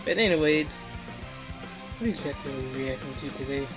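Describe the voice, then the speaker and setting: A man's voice: on the high side, animated, brisk. A man losing his voice, hosting a casual reaction video and chatting through explanations. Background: video audio and music playing.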